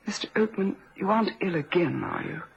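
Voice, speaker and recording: An actress playing a concerned, briskly practical female office employee in a vintage radio drama, proper and probing in manner. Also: medium pitch, expressive, vocal fry. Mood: concerned, firm. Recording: dull, noisy vintage radio recording.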